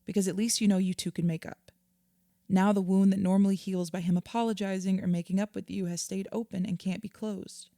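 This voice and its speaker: Audio drama: actress as earnest female therapist, mellow tone, measured and reassuring, very dry studio-close sound. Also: mid-range voice, fairly even intonation, no room sound, close to an everyday voice. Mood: empathetic, explanatory. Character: patient, earnest female therapist counselling a client. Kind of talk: naturalistic audio drama acting.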